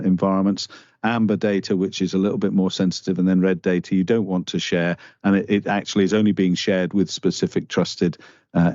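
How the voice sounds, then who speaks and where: Halting Northern Irish man, low voice. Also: dark tone, dry recording. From Northern Ireland. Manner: halting, restarts often, earnest. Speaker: guest. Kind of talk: conversational interview. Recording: dull lo-fi dry sound.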